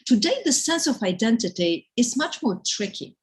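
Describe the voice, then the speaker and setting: A woman's voice: a little high, big pitch swings, moderate pace. A woman professor hosting a live online session, upbeat and encouraging, explaining ideas step by step over a video call. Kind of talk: lecturing and moderating introductions.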